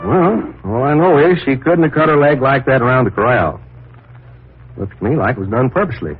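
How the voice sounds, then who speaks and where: An actor playing a folksy, deferential but insinuating ranch hand in an old radio drama, plain-spoken. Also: male voice, medium pitch, fairly quick, close to an everyday voice. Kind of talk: 1940s radio drama acting. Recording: muffled, rough old radio transcription.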